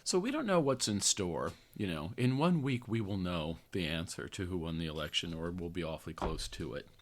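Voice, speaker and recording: An older American man hosting a podcast, reading his own article aloud in an earnest, deliberate way with conversational asides. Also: low voice, animated. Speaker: podcast host, therapist and activist writer.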